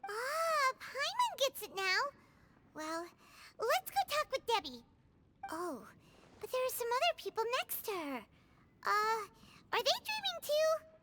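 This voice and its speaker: A woman voicing a tiny, excitable female companion who speaks of herself in the third person. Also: very high voice, a little slow, pauses often, heavily acted. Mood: eager. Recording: game audio with loud background noise.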